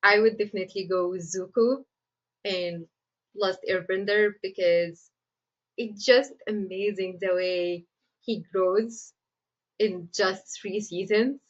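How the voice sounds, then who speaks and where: A woman's voice: mid-range voice, fairly even intonation, takes it slowly, muffled recording. Chatty Egyptian-accented woman screenwriter. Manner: chatty, laughs often, lots of "like" fillers. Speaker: screenwriter guest. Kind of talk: casual interview answers.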